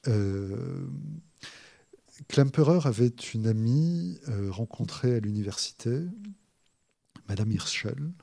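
A man, an author, explaining his subject in a hesitant way, with many filler pauses and restarts. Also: medium pitch, expressive, vocal fry, warm tone, dry recording, clean studio sound. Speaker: author discussing his book. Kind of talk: thoughtful spoken explanation.

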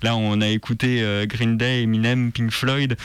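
A man's voice: mid-range voice, flat delivery, normal speed, clear, resonant tone, no room sound. A man with a French accent presenting as a contributor on a radio show. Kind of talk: scripted narration. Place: radio studio.

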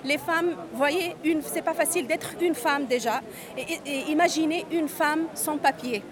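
A woman's voice: high voice, normal speed. A Tunisian woman in her forties, an interviewee at a street march, speaking earnestly and often repeating and restarting words. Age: 40s. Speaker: undocumented migrant interviewee. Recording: crowd noise outdoors. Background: crowd.

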